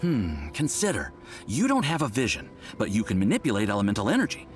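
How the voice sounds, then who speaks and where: A man voicing an earnest, bookish young research assistant in an anime game, animated and scholarly. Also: lowish voice, a little fast, soft background music. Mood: eager, earnest.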